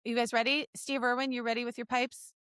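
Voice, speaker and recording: A woman's voice: on the high side, flat delivery, quick pace, a bit bright, smooth, no echo, studio quality. A woman co-hosting a comedy podcast, chatting casually and reading fan fiction aloud in a playful, bawdy, giggly way. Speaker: podcast co-host.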